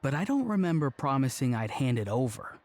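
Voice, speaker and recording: A man's voice: mid-range voice, very animated, a little fast, a little mellow, a little hiss or hum. An actor playing a laid-back young man in a video game, casual, understated and a little awkward. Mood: cool, teasing. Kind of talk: voice-acted game dialogue.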